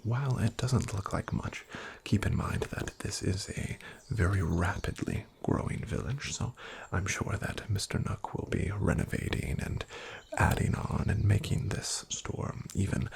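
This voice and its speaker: Male ASMR roleplayer as real estate agent: low, very gravelly, breathy half-whisper, friendly and gently attentive. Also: a little slow, a crowd in the background.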